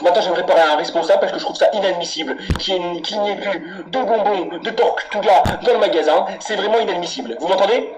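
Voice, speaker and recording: A man's voice: sits high, fast talker, few pauses, over music, close to an everyday voice. A man putting on a menacing, candy-obsessed angry customer in a prank phone call, ranting and swearing insistently. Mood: indignant. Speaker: prank caller. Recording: very noisy phone prank recording.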